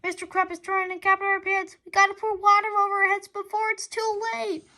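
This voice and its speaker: A woman's voice: extremely high pitch, level tone, a little fast, pushed and bright, pitched above the performer's own voice. A woman voicing an earnest, anxious schoolboy who pleads urgently in a children's storybook.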